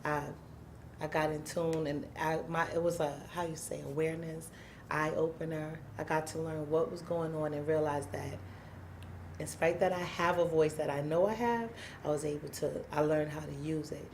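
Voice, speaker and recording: Low-voiced woman speaking out, plain and emphatic. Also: steady tone, moderate pace, darkish tone, slight background noise. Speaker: housing activist and community organizer. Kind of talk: candid interview storytelling.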